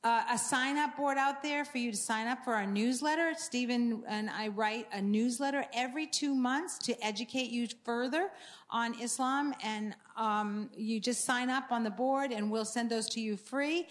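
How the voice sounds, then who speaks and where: A woman's voice: fairly high pitch, normal speed. A woman from Maine giving an earnest church talk about books and ministry resources, with frequent fillers and restarts. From Maine, USA. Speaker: ministry speaker promoting books.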